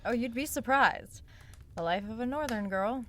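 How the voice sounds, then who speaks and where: A woman's voice: on the high side, expressive, normal speed, a bit bright. A woman playing a gutsy, sardonic young northern woman on the run in an audio drama, with a blunt, wry delivery. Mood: needling, quieter. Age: young adult.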